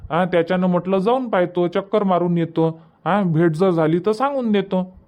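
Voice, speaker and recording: A man's voice: high voice, moderate pace, darkish tone, lightly acted. A man voicing an easygoing, stubborn village husband in a cartoon comedy, chatty and colloquial, with a rural Varhadi Marathi accent. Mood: explaining, mildly insistent. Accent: rural Varhadi Marathi from Vidarbha. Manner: casual, colloquial, explaining at length.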